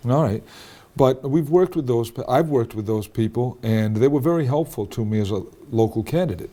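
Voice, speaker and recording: A man's voice: mid-range voice, animated, normal speed, mellow. A man hosting a local cable interview, steering with leading questions and checking facts with his guest. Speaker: talk show host.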